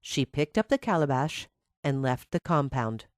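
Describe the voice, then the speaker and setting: Low-voiced, expressive woman storyteller. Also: moderate pace, dry recording, studio quality. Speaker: storyteller, narrator. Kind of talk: scripted children's fairy tale narration.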